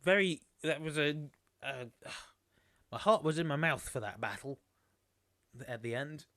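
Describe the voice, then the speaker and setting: A man's voice: fairly high pitch, animated, measured pace, pushed and bright, no room sound, clean studio sound. A man commentating a sim racing league on a live stream, chatty and rambling, losing his voice. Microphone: desktop microphone.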